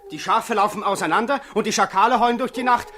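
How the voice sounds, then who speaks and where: An actor playing an exasperated, level-headed shepherd in a 1960s radio drama, urgent and commanding, on an old recording. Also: male voice, high-pitched, fast, bright, forceful tone, a little hiss or hum. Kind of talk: 1960s German radio play acting.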